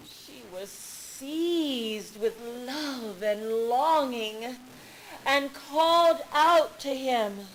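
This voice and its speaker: Woman, live storybook reading, very slow pace, deliberate emphatic phrasing, faint background noise. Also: fairly high pitch, fairly bright tone, low-quality recording. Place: public library event room.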